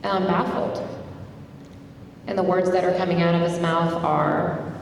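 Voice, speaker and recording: A woman's voice: lowish voice, measured pace. Middle-aged woman telling a story in a hall. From Tulsa, Oklahoma, United States. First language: Turkish. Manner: conversational, animated when retelling events. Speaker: speaker at a community dialogue event. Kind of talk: personal storytelling speech. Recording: echoey hall, distant mic, faint background noise.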